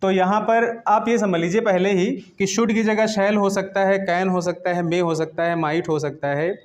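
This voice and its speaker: A man's voice: high voice, steady tone, unhurried. A man teaching an English grammar lesson, explaining step by step and repeating key points in a didactic way.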